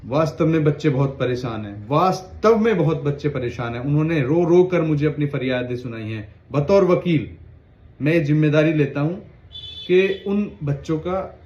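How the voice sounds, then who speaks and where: A man's voice: a little high, expressive, a little slow, darkish tone. Earnest man appealing in a roomy room. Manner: earnest, pleading yet warning. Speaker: lawyer. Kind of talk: direct-to-camera appeal. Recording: roomy, dull lo-fi sound.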